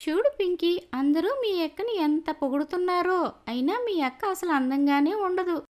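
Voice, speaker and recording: Woman voicing a squeaky, catty little girl. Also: expressive, moderate pace, a little mellow. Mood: catty, mocking. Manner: needling, mocking. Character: jealous, catty young girl, a schoolfriend sowing spite.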